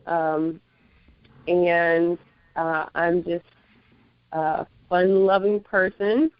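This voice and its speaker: Laid-back woman, clear smooth voice. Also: on the low side, level tone, very slow, no echo, phone-quality sound. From Miami, Florida. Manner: laid-back, laughs often, hesitant with fillers. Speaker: magazine publisher, guest. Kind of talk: interview answers.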